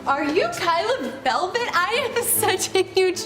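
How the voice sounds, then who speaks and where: An actress playing a timid but eager young bakery assistant in a melodramatic short film. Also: female voice, very high-pitched, lively intonation, unhurried. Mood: starstruck. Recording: film dialogue under loud music.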